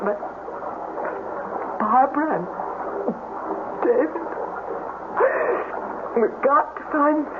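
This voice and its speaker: High-pitched frightened woman, noisy radio. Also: extremely slow, pauses often, phone-quality sound. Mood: shaken, wondering. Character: frightened, pleading woman trapped in a bat cave. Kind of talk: melodramatic radio drama acting. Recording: noisy, band-limited radio drama tape.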